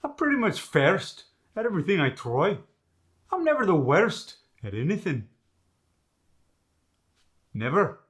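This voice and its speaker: A man's voice: high-pitched, big pitch swings, a little slow, long pauses, a bit bright, exaggerated voice. A man playing a boastful Scottish dialect master, demonstrating sounds in an emphatic, swaggering manner for a comic lesson.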